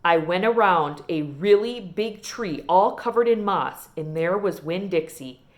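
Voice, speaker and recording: Animated woman reading a storybook. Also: on the low side, unhurried. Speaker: read-aloud reader. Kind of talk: expressive storybook narration.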